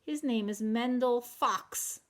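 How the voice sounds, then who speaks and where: A woman reading a children's picture book aloud in an animated way, stopping to ask listeners questions. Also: fairly high pitch, slow. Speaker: children's storytelling teacher.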